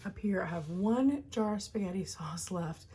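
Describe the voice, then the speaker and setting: A woman with a Southern US accent chatting casually and informally to viewers as a home video host, her voice slightly hoarse and recovering. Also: medium pitch, moderate pace, dark tone. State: slightly hoarse after a cough and lost voice.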